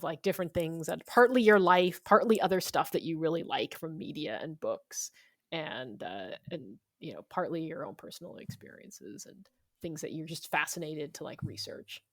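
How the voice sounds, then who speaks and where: Woman, guest author, slightly low voice, informal and thoughtful, interview answers, dry close sound. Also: animated, moderate pace, no echo. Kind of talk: conversational interview.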